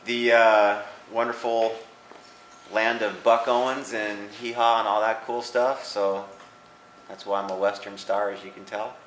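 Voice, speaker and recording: An American man from California, a bass player chatting casually in a band interview. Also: flat delivery, unhurried, a bit bright. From Bakersfield, California, USA. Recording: roomy echo, faint noise.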